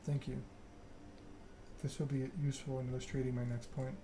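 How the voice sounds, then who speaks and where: Man reading as a cold, measured vampire enforcer. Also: medium pitch, noisy background, natural voice. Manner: measured monotone recitation, veiled threats. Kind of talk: video game dialogue read aloud.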